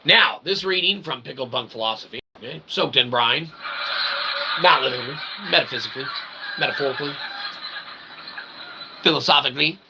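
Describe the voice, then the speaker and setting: Middle-aged man, gravelly, mock-formal rambler. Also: medium pitch, brisk, pauses often, very noisy. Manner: mock-formal, wordy, with repeated "okay" and "right" tags. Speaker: host of an online show. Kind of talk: rambling comedic monologue to viewers.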